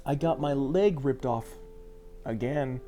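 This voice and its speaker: A man's voice: medium pitch, slow, mellow, faint music behind, natural voice. Man playing an earnest, troubled teenage boy. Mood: weary. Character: troubled, earnest teenage son, pleading to be believed.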